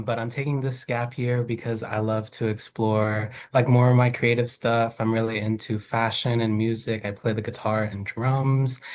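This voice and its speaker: Nineteen-year-old man from Mississippi, mid pitch. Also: a little mellow. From Mississippi, United States. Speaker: contestant. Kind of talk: casual self-introduction.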